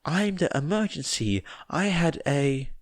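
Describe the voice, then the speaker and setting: A man voicing a stubborn little girl, blunt and menacingly earnest, with halting, insistent speech in an audiobook. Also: on the high side, expressive, no echo, studio quality, pitched above the performer's own voice.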